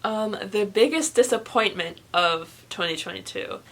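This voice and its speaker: A woman's voice: medium pitch, a little slow, a bit bright. Chatty young woman talking books. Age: young adult. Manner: chatty, emphatic, informal. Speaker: book reviewer vlogger. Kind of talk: casual book review chat to camera.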